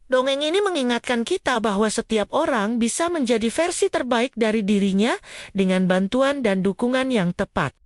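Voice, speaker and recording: Extremely high-pitched woman telling a fable. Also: no room sound, studio quality. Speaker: storyteller. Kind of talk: scripted narration of a children's fable.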